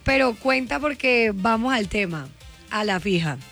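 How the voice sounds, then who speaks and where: A woman's voice: fairly high pitch, lively intonation, unhurried, a bit bright. A woman with a Colombian accent hosting a radio show in casual, teasing banter. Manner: playful, teasing. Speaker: radio host. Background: music.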